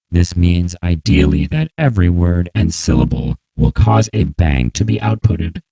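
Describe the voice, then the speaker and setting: A narrator explaining an electronic music piece in slow, exaggerated, very proper enunciation, processed through a vocoder with shifting synthesizer tones. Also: mellow, low-quality recording. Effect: vocoder, randomly shifting synthesizer pitch and chords. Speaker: composer narrating own piece.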